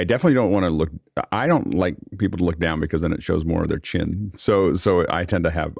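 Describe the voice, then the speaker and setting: Really low creaky male voice, chatty host who rambles through stories, close dry sound. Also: darkish tone, dry recording. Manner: rambling, anecdotal explanations. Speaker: host and moderator. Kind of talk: casual panel discussion.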